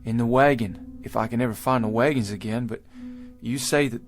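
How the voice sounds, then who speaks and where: A bewildered pioneer man played by an actor in a vintage TV drama. He stammers and halts in plain, old-fashioned rustic speech, desperate to understand where he is. His pitch sits in the middle for a man, with faint music behind. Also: a little fast, lightly acted. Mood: earnest, uncertain. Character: bewildered, desperate man from an 1847 wagon train.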